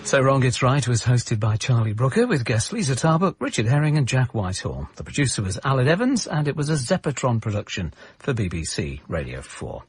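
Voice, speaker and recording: A man's voice: mid-range voice, sing-song, a little fast, hardly any pauses, slightly rough. A man reading scripted closing credits as a radio announcer.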